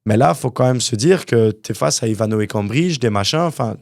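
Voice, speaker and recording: A young man from Canada, a guest entrepreneur telling his story in a casual interview, animated and full of fillers. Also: medium pitch, brisk, vocal fry, no echo, studio quality. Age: young adult.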